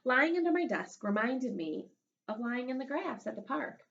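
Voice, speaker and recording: A woman's voice: high voice, very animated, moderate pace, vocal fry, fairly bright tone, dull audio. A woman reading a children's storybook aloud as a schoolteacher, expressively, with asides to her young pupils. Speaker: elementary school teacher.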